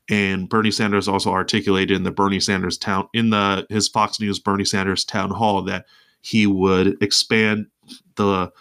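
A man hosting his own political commentary podcast, with a nasal voice from congestion, talking haltingly with restarts and fillers. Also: sits low, a bit bright. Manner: hesitant, many restarts and fillers, reading article excerpts aloud. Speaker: podcast host.

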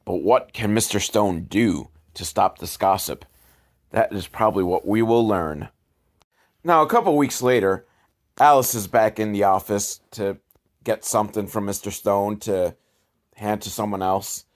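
A man's voice: fairly low pitch, measured pace. A man hosting a casual comedic commentary on old films, with a sarcastic, profane way of talking. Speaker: host reviewing old educational films.

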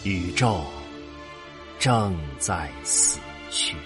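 A man narrating a science fiction audio drama in a solemn, deliberate, dramatic manner. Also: low voice, expressive, over music.

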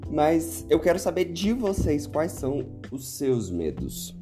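A Brazilian man with a hoarse voice, hosting a travel podcast in a chatty, upbeat solo talk with playful asides. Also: a little high, sing-song, measured pace, over music. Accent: Brazilian Portuguese. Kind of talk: casual solo podcast monologue.

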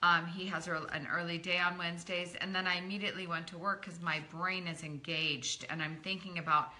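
A middle-aged woman running a live training session as a network marketing coach, talking in an encouraging, chatty way and greeting viewers by name. Her voice is a little croaky. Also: on the low side, level tone, brisk, pushed and bright. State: slightly croaky from talking a lot.

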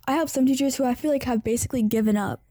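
A woman's voice: high voice, racing, dark tone, no room sound, clean studio sound. A teenage girl hosting a podcast, speaking in a friendly, thoughtful way and hedging often with "like". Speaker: podcast host. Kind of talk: casual chat.

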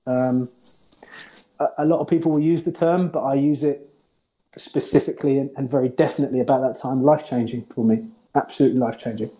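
Mellow-voiced man recalling his coaching days. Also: medium pitch, fairly even intonation, poor audio. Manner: earnest, halting with restarts. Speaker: former head coach. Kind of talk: reflective casual chat.

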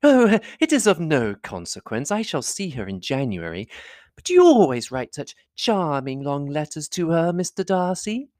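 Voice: mid-range voice, big pitch swings, normal speed, darkish tone, dry recording, studio quality, pitched above the performer's own voice, natural voice. A reader voicing a fawning young society lady in a classic novel audiobook, effusive and coquettish.

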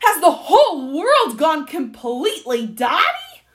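A woman's voice: high-pitched, big pitch swings, a little slow, fairly bright tone, voice pushed up, exaggerated voice. A woman voicing a pompous, furious old male duke who blusters and bellows in a storybook reading. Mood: aghast.

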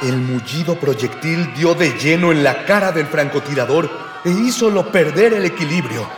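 A man's voice: fairly high pitch, expressive, moderate pace, over music, natural voice. A man with a Mexican accent playing a pompous, grandiloquent narrator of a mock-heroic radio adventure serial. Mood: urgent, dramatic. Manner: pompous, grandiloquent, archaic phrasing. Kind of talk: florid old-fashioned radio serial narration. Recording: roomy reverberant sound with crowd behind.

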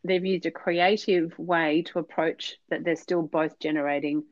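A woman's voice: low voice, steady tone, measured pace, no room sound, muffled recording. A woman speaking as a podcast guest, earnest and explanatory, with frequent fillers. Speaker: podcast guest, business consultant. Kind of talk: conversational interview answers.

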